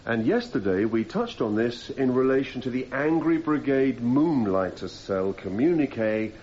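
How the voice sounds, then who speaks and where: An actor playing a persistent, formal defence barrister cross-examining a witness in a radio courtroom drama, putting methodical leading questions. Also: male voice, medium pitch, lively intonation, moderate pace, a little mellow, natural voice. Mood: pointed, persuasive. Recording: slightly muffled, noisy radio drama recording.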